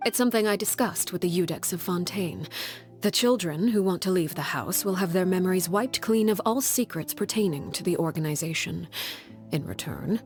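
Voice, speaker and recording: A woman voices a stern orphanage head in a game cutscene, her voice set lower than most women's. She speaks calmly and with measured authority, never raising her tone. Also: natural voice. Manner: calm, measured, commanding.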